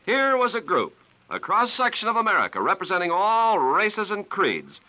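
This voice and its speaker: A man's voice: a little high, pushed and bright. A man delivering formal, declamatory scripted announcements as a 1950s radio announcer. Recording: dull vintage 1950s radio recording.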